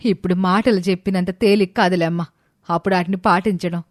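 A woman's voice: lowish voice, fairly even intonation, quick pace, dry recording, studio quality, natural voice. A woman voicing an elderly widowed mother, dignified and hurt, speaking with emotional, emphatic reproach. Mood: hurt, proud. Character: wounded, dignified elderly widowed mother rebuking her sons.

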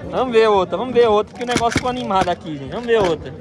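A man records a street market on his phone and narrates it in an excited, chatty way, repeating himself. His voice sits fairly high. A busy crowd murmurs around him outdoors. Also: racing. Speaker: vlogger filming a street market. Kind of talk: casual play-by-play commentary. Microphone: phone held by the filmer.

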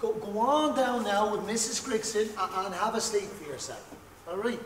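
An actor playing a hesitant, stammering lodger soothing a drunk neighbour on stage. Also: male voice, high-pitched, animated, normal speed, slight background noise. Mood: placating. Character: hesitant, placating male lodger. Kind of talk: stage play acting. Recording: echoey hall, far from mic, faint ambience.

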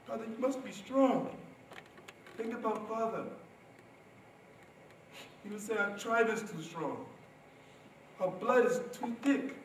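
A man's voice: high-pitched, takes it slowly, pauses often. A young man performing a dramatic stage monologue as an anguished, protective seventeen-year-old Darfuri refugee, impassioned and pleading. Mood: sombre, bitter. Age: young adult. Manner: impassioned, pleading, swinging between cries and hushed grief. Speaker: student performer. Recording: roomy, distant stage recording, faint noise.

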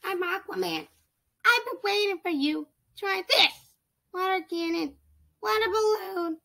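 A vain little water robot from a game. His voice is squeaky and very high, with a bright, gravelly bite. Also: a little slow, full character voice. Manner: vain, showy.

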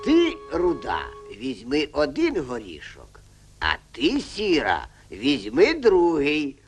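A man voicing a wise, gruff old grandfather owl in a Soviet-era cartoon, giving expressive, drawn-out advice. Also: fairly high pitch, exaggerated voice. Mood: patient. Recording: old cartoon soundtrack with music.

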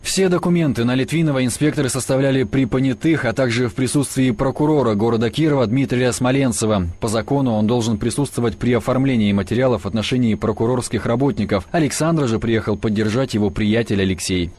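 A man's voice: medium pitch, fast talker, few pauses, a little mellow. Male TV reporter reading a news story. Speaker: TV field reporter. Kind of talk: scripted news report voice-over.

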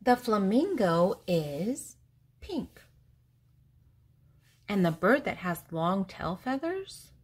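An older woman reading a picture book aloud to young children in a deliberate, teacherly way, posing questions and answering them, with pauses between words. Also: medium pitch, sing-song, slightly rough.

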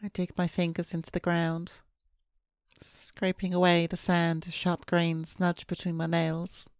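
Female streamer narrating a visual novel: deep for a woman, flat and subdued, dry close-mic sound. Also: unhurried, creaky, clear, resonant tone, dry recording. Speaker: VTuber streamer. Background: faint game music.

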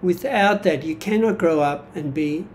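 A man in his seventies with an Australian accent, giving a reflective personal monologue from his notes in a halting, deliberate manner. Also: fairly high pitch, a little hiss or hum. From Greek-born, grew up in Australia. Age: 70s. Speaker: vlogger sharing personal history. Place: small indoor room at home.

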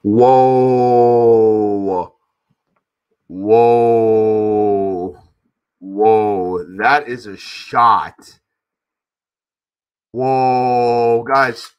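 A man's voice: mid-range voice, clean tone, muffled recording. A man in his twenties chatting informally to viewers as a sports livestream host, his voice wearing out. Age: 20s. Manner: informal, addresses viewers as guys. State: losing his voice. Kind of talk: casual fan livestream chat. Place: small home room, indoors. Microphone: close desk microphone.

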